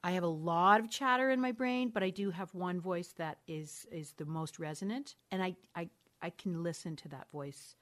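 A woman's voice: on the low side, moderate pace, a bit bright. A middle-aged woman, a mother of three, talking candidly and thoughtfully as an interview guest, often restarting her sentences. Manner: candid, thoughtful, frequent self-corrections and laughs. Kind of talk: reflective conversational interview.

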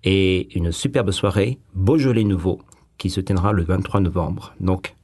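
A man's voice: deep voice, a little slow, a little mellow. A man presenting and interviewing as a radio host.

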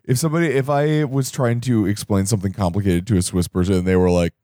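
A man's voice: on the low side, fairly even intonation, fast, warm tone, no echo, clean studio sound. A man chatting casually and jokingly as a co-host.

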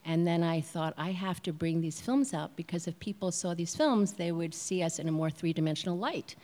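A woman's voice: lowish voice, brisk, warm tone. An American woman film producer telling her story on a panel, reflective and earnest. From United States. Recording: reverberant hall.

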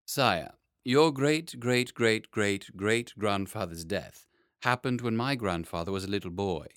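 A man voicing a grave, formal, courtly gentleman statesman with a solemn, stately manner in a storybook. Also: fairly low pitch, measured pace, dry recording, clean studio sound. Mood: solemn, explaining.

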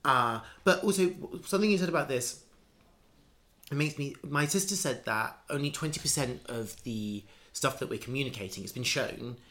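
A man's voice: mid-range voice, fairly bright tone. A man in casual conversation, musing at length with many restarts and fillers. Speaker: conversation partner.

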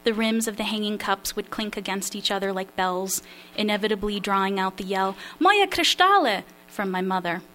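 A woman reads from her own memoir at a literary event, her voice at a middle pitch. She is slightly nervous and self-deprecating, pausing with small fillers between passages. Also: little pitch movement, fairly bright tone. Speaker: memoir writer reading her work.

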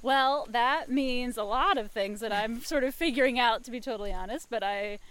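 A woman's voice: a little high, normal speed, a bit bright, clean tone. A woman who directs a school's community engagement program, answering interview questions outdoors in a candid, friendly way.

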